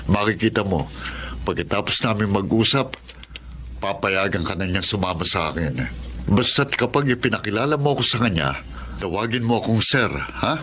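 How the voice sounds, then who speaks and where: Deep-voiced remorseful Filipino policeman father. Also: lively intonation, normal speed, slight background noise. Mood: confident. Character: remorseful policeman and long-absent father, pleading earnestly. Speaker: radio drama actor. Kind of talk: melodramatic Filipino radio drama acting. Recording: loud music under the voice.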